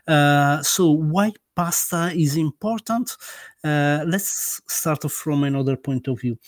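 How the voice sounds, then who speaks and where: A man's voice: on the high side, no room sound, clean studio sound. A man with an Italian accent, a guest author in a casual interview, speaking hesitantly with many fillers and restarts. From Italy.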